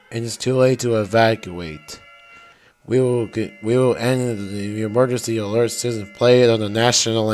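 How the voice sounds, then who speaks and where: A male voice of medium pitch reads a scripted emergency address as a national leader. The delivery is solemn and stilted, with a synthetic feel, and music plays behind it.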